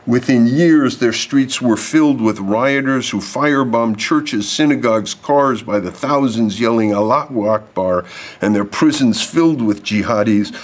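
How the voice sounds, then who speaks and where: A man's voice: mid-range voice, a little slow. A man delivering a prepared lecture to an audience, reading formal text and adding off-script asides. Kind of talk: prepared speech read to an audience.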